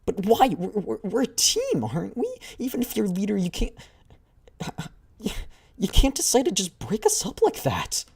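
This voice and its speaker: Man doing an excitable young girl squad member. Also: measured pace, gravelly, husky, heavily acted. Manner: pleading, high-strung.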